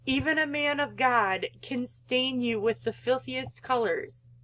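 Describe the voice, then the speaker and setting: A woman acting a slow, condescending, controlling mother. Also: sits high, a bit bright, rough recording. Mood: stern. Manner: condescending, guilt-tripping. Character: cold belittling mother, smothering and controlling.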